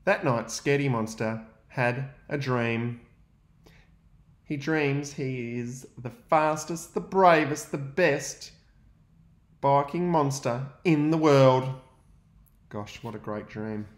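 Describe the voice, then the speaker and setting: A young adult male teacher, reading a picture book aloud to young students and pausing to ask them questions. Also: mid-range voice, reverberant. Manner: gentle, expressive storytelling with pauses to ask questions.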